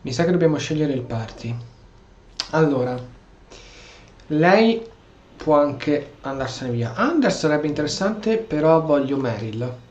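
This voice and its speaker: A man's voice: fairly high pitch, expressive, normal speed, long pauses, darkish tone, a little hiss or hum. Echoey, muffled Italian man gaming. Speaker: gameplay streamer. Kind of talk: casual game commentary and role play. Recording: echoey, dull mic with game music behind. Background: video game music.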